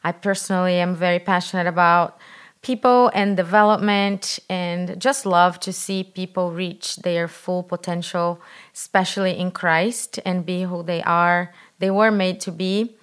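A woman from Brazil with a Brazilian accent, leading a mentoring program and talking earnestly on a podcast, a little halting. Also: on the low side, steady tone, clean tone. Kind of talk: podcast conversation.

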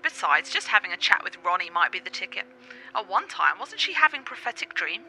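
A woman's voice: lowish voice, very animated, a little fast, gravelly, pushed and bright, a little hiss or hum, full character voice, low-quality recording. An actress playing a doctor's ailing but strong-willed girlfriend, speaking in a wry, theatrical radio play manner. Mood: wry, stubborn.

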